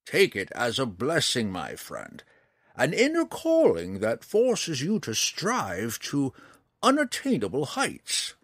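A man voicing a kindly, philosophical old ranger in an audiobook, speaking slowly in a measured, musing way. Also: medium pitch, wildly swooping pitch, a bit bright, dry recording, studio quality, pitched above the performer's own voice, full character voice. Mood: wise, encouraging. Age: older. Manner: slow, measured, musing delivery. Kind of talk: audiobook character voice.

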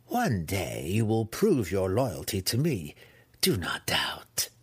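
A man voicing an imperious, scheming, cruel dark elf matriarch in a fantasy audiobook, condescending and wry, drawing out the words. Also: sits low, huge pitch swings, slightly rough, no echo, full character voice. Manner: condescending, wry, drawn-out delivery.

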